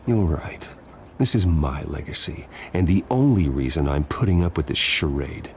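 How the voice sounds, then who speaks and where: An actor playing a brooding young billionaire hero, speaking in a low, husky, controlled voice. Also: male voice, expressive, normal speed, slight background noise. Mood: grim. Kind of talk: video game voice acting.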